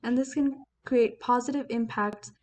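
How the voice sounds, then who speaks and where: Young woman with a higher-pitched voice. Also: steady tone, normal speed, a little mellow, slight background noise. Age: young adult. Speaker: student speaker. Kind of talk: scripted video statement.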